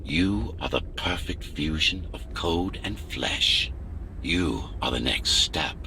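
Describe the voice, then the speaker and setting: Deep-voiced, scheming machine mastermind. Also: male voice, expressive, pushed and bright, slight background noise, exaggerated voice, low-quality recording. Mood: cold, condescending. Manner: measured, condescending, commanding. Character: cold, scheming male AI creator, domineering and arrogant. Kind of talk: video game voice acting.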